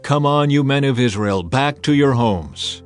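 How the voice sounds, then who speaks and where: A man's voice: mid-range voice, lively intonation, a little slow, faint music behind, lightly acted. A man voicing a troublemaking rebel who chants defiant calls in a Bible drama. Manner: loud chanting call. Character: defiant male rebel troublemaker rousing men.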